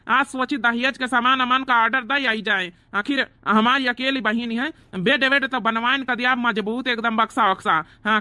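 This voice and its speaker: A man's voice: extremely high pitch, pushed and bright, clear, resonant tone, dry recording, heavily acted. A man voicing a proud, doting village brother in a cartoon comedy, earnest and boastful, with an Awadhi accent.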